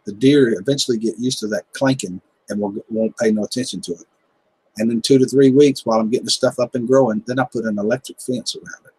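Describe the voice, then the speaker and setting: A man's voice: mid-range voice, fairly even intonation, quick pace, darkish tone, husky, dry recording, rough recording. A man in his fifties with a Southern American accent, chatting casually on a livestream in a laid-back, joking, folksy way. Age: 50s. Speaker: livestream host, gardening channel. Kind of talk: casual chat reading viewer comments.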